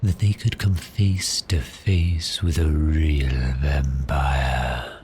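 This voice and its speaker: An extremely deep-voiced male vampire, acted by a man toys politely with his prey in an ASMR roleplay. He speaks very slowly and deliberately, with courteous menace. Faint ambient noise sits behind the voice. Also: steady tone, a little mellow. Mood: calm, quietly gloating. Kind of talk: slow ASMR roleplay acting.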